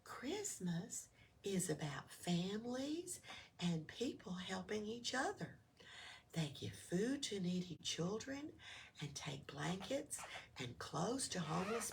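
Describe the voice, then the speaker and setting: A gentle old female keepsake ornament from a storybook, put on by a woman reader. She speaks softly and sweetly with quiet pride, taking her time. Faint noise surrounds the distant recording. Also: mid-range voice, big pitch swings, takes it slowly, gravelly, full character voice. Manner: sweet, gentle, soft-spoken. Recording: noisy room, distant microphone.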